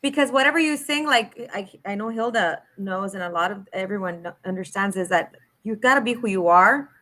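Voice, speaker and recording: A woman's voice: medium pitch, brisk, pushed and bright. A woman hosting a podcast, talking casually and emphatically, giving her opinion and dropping in Spanish words. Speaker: podcast host. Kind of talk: casual chat.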